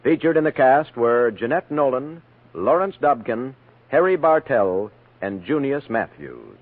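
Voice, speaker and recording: A man's voice: medium pitch, big pitch swings, a little slow, no room sound. A man with an American accent reading scripted credits and promos as a 1950s network radio announcer. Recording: tinny 1950s radio broadcast, music behind.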